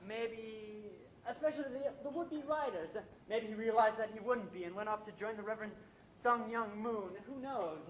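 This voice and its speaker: A man's voice: moderate pace, lightly acted. A man playing a smug, scheming middle-aged playwright husband in a live stage play, with theatrical, sardonic delivery. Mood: flippant. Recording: echoey amateur stage recording, background rumble.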